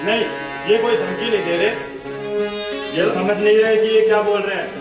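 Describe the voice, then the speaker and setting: An actor playing a principled, unyielding nomad caravan leader on stage, firm and measured. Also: male voice, high voice, fairly even intonation, close to an everyday voice. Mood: defiant. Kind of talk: stage acting. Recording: distant echoey theatre with loud music.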